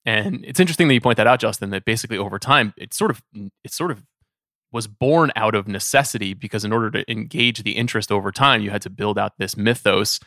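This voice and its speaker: A man's voice: lowish voice, fast talker, sharp tone, no room sound. Male podcast host, close dry sound. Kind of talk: conversational podcast discussion.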